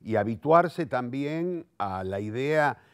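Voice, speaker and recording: A man's voice: medium pitch, animated, slow, clear, resonant tone, no echo, studio quality. A man in his sixties with a Rioplatense Argentine accent, speaking reflectively and eloquently in an interview, often repeating words as he searches for the next. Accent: Rioplatense Argentine Spanish. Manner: eloquent, self-correcting, thinks aloud with searching repetitions. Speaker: playwright, director and theatre teacher.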